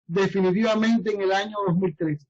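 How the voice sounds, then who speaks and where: A man in his fifties from Cuba with a Cuban accent, an interviewee speaking deliberately and haltingly. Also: high voice, steady tone, a little mellow, lo-fi sound. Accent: Cuban Spanish. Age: 50s.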